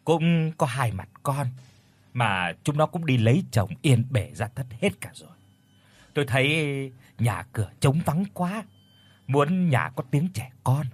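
A man's voice: medium pitch, lively intonation, measured pace, no echo. A man voicing a lecherous, smug older village man who chuckles and hesitates, in a spoken storybook. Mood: hesitant. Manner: hesitant, stammering.

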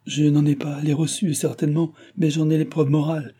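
A fretful old noblewoman pleading her case in a high, strained voice, as a male narrator puts her on. The tone stays mellow and a little husky under the raised pitch. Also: flat delivery, brisk. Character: anxious elderly noblewoman pleading her lawsuit.

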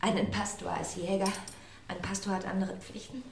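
An actress playing a pastor's eager wife in a period radio drama, exclamatory and polite, with a defiant streak. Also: female voice, mid-range voice, fairly even intonation, moderate pace, vocal fry, heavy background noise, lightly acted. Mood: prim, defiant. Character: pastor's excitable young wife, polite, easily delighted, defiant. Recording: roomy studio drama with music behind.

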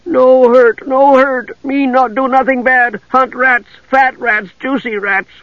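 A man's voice: very high-pitched, steady tone, slow pace, no room sound, dull audio, voice pushed up. A man voicing a cowardly, cunning little gully dwarf in a whiny, sniveling voice and broken grammar, for a fantasy audiobook. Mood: pleading. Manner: broken pidgin grammar, pleading.

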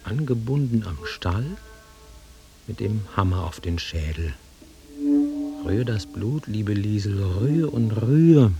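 An actor playing a volatile, nostalgic country-bred man in a German radio drama, brooding and taunting, with a rough husky voice that swings from muttering to outbursts. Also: lowish voice, expressive, slow pace, pauses often, music in the background.

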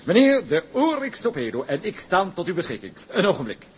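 A man's voice: sits high, huge pitch swings, brisk, noisy background. A man playing an eager, obsequious car salesman in a period drama, with flowery, formal and deferential patter. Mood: brisk, flattering.

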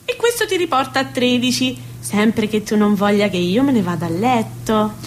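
Radio play, woman as young society daughter: high, swooping voice, pert, excitable. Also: moderate pace, a little hiss or hum, lightly acted. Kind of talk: radio play acting of a 1920s stage comedy.

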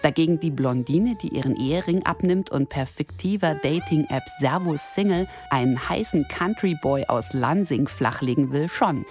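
A woman's voice: deep voice, normal speed, few pauses, over music. A woman of thirty-nine delivering an ironic, self-mocking scripted radio monologue in the first person. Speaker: radio columnist and presenter.

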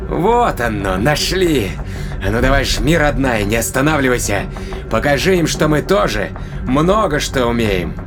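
An actor playing an eager spaceship researcher in a science fiction audio drama, speaking in a colloquial, commanding way. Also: male voice, medium pitch, sing-song, unhurried. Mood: commanding, excited. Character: eager male spaceship researcher running an animal experiment. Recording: music behind the voice.

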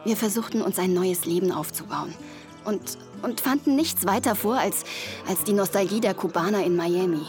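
An actress playing a disillusioned, melancholy widow back from exile in a German radio crime drama, speaking wistfully. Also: female voice, mid-range voice, moderate pace, over music, lightly acted.

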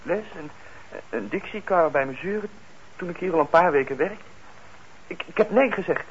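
Male actor playing troubled young man, lightly high-pitched, halting, defensive, radio play, muffled noisy recording. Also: lively intonation, lightly acted. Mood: cornered, reluctant. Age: young adult. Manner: halting, stammering when upset. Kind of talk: radio drama acting.

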